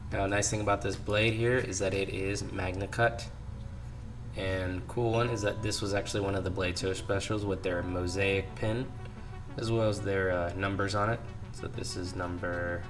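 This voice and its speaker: A man with a lowish voice, still hoarse from being sick, talks casually through his purchases at a garage bench. He keeps it easy and unhurried, with the odd "uh" and "um". Faint music runs in the background. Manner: relaxed, talks through items with fillers. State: hoarse, recovering from illness. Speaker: channel host, knife hobbyist. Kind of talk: casual vlog show-and-tell. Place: home garage workbench.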